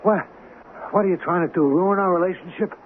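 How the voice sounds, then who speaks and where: A man plays an easygoing wise-guy hustler in a 1970s radio drama. His voice sits fairly high, full of streetwise slang and protest. The sound is thin and narrow, with faint noise. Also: brisk, close to an everyday voice. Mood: defensive, coaxing.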